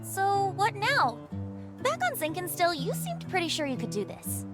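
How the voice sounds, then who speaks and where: A woman playing a spirited young heroine captain in a video game dub, breezy, informal and expressive. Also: extremely high pitch, normal speed, heavily acted. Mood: surprised, questioning. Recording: voice over background music.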